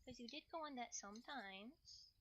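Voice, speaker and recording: A woman's voice: fairly high pitch, normal speed, background noise. A woman livestreamer chatting casually, playfully rambling and thinking aloud.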